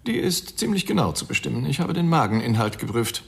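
Wry, swooping, gravelly pathologist. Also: male voice, mid-range voice, big pitch swings, fast talker. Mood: matter-of-fact. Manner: wry, theatrical, matter-of-fact. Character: sardonic, unfazed male forensic pathologist. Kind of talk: German radio crime drama acting.

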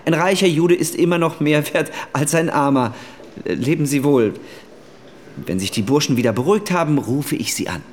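An actor playing a world-weary, fatalistic businessman in radio play dialogue, wry and halting. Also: fairly high pitch, slight background noise, lightly acted.

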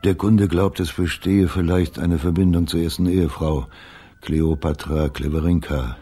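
A man's voice: low-pitched, steady tone, normal speed, mellow, slightly rough. An actor playing a terse, businesslike boss briefing his investigator in a radio crime drama, matter-of-fact in manner.

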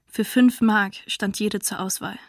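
A woman's voice: mid-range voice, measured pace, no room sound, studio quality. A woman playing a humiliated young forced labourer writing to her mother, restrained, in a radio docudrama. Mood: subdued, wounded. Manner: restrained, plain, even. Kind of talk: radio docudrama letter reading.

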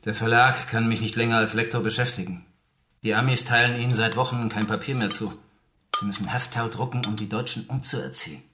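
A man's voice: lowish voice, steady tone, a little fast, sharp tone, a little hiss or hum. An actor playing a desperate, out-of-work literary man in a radio play, bitter and intense.

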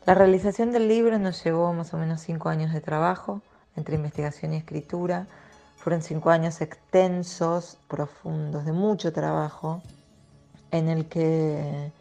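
Low-voiced Argentine woman, bright tone. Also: fairly even intonation, normal speed, gravelly, faint music behind. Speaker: interviewed writer and co-author. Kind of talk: reflective interview answers.

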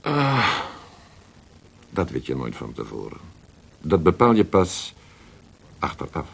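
A worldly Italian poet fallen from favour, in a radio play. His very deep voice is gravelly and swings widely in pitch. He speaks with an Italian accent, theatrical and halting, dropping in Italian words as he schemes. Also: wildly swooping pitch, exaggerated voice. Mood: resigned, bitter. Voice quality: gravelly, husky. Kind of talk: radio drama acting.

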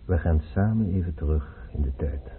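An actor playing a mysterious male healer, measured, controlled and insistent, in a radio drama. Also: deep voice, lightly acted. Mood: calm, insistent. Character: mysterious calm male healer guiding her back in time. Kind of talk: Dutch radio drama acting. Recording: noisy phone-like old radio recording.

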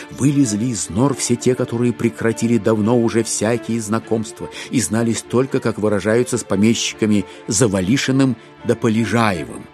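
Guy narrating a classic novel audio play, very animated, ironic, theatrical, faint music. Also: on the low side. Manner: expressive, ironic storytelling.

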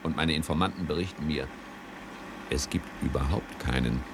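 An actor playing a cocky, persuasive commodities broker in a patronising, grandiose manner, in a 1970s German radio play. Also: male voice, rumbling low, normal speed, background noise. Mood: sardonic, conspiratorial. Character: slick, cocky male commodities broker talking up quick riches.

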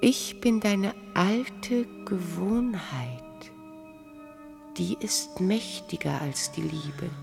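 A proud, knowing, mature wife in a radio drama. She speaks slowly and deliberately with a creaky edge, irony cutting through each phrase. Faint music sits behind her. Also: on the low side, darkish tone, full character voice. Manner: measured, ironic, deliberate. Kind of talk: radio play acting, literary dialogue.

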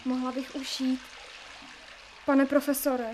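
A woman acting in a radio play as a squeamish yet fascinated servant, talking in colloquial, often hushed asides. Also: high-pitched, flat delivery, a little hiss or hum, lightly acted. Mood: timid, pitying.

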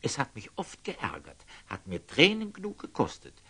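An actor playing a humble, kindly old lay brother in a radio play, husky and gentle, speaking classical verse haltingly. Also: male voice, mid-range voice, huge pitch swings, exaggerated voice. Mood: warmly earnest.

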